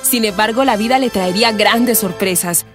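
A woman's voice: mid-range voice, normal speed. A woman narrating a scripted radio drama. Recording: music behind the narration.

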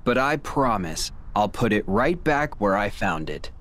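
A man playing a cold, smug young villain in a video game, mocking and deliberate in his menace. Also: fairly low pitch, sing-song, fairly bright tone. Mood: smug mockery.

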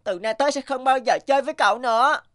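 Excitable young cartoon schoolboy. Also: female voice, sits high, quick pace, no room sound, clean studio sound. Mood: indignant. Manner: childlike, emphatic, dramatic. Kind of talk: animated cartoon dubbing.

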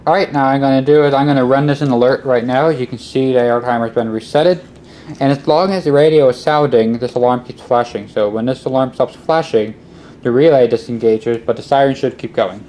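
A man's voice: medium pitch, a little fast, a little mellow. Male how-to host, mid-range voice, practical and instructional, recorded on a handheld camera in a workshop with some noise. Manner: instructional, step-by-step. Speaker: host of a hobby electronics how-to video. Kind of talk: casual hands-on tutorial narration. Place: cluttered indoor workshop or utility room. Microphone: handheld camera microphone. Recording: clicks and clear background noise, handheld camera.

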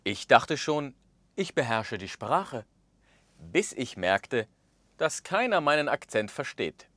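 Man, thirties, language teacher, lively animated pitch, dry studio sound. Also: slow pace, no echo. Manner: expressive, gesturing, acts out example phrases. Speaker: language course presenter. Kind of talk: scripted lesson narration. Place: studio with orange backdrop.

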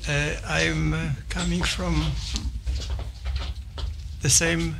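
An older man from Poland with a Polish accent, giving a formal presentation and often pausing with fillers and restarts. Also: fairly high pitch, level tone, gravelly, background noise. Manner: halting, with many fillers and restarts. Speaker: visiting scholar presenting. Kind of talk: formal presentation to a small audience. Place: office meeting room.

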